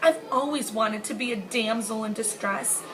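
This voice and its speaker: A woman voicing an excitable young girl animal in a children's story, gushing and theatrical. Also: fairly high pitch, moderate pace, close to an everyday voice. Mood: gushing, excited. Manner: dramatic, gushing. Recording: clearly noisy amateur recording.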